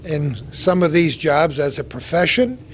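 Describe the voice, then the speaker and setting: An older man with a somewhat high voice hosts a local cable interview. He is chatty and folksy and steers his guests with leading questions. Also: animated, unhurried, a little hiss or hum. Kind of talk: on-location interview.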